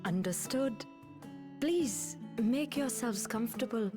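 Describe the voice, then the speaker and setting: A woman's voice: fairly high pitch, expressive, slow pace. A woman voicing a polite serving woman in a video game, with formal, courteous delivery. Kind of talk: scripted video game dialogue. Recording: music behind the voice.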